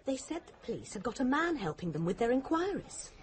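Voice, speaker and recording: A blunt young English woman in a radio play, high and lively in pitch. She is opinionated and insistent, with a morbid curiosity. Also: fairly quick. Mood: morbidly fascinated. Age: young adult. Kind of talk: radio drama acting.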